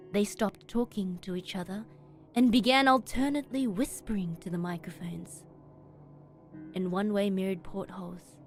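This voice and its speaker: A woman streamer reading a horror story aloud in expressive, dramatic narration with emphatic pauses. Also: mid-range voice. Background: background music.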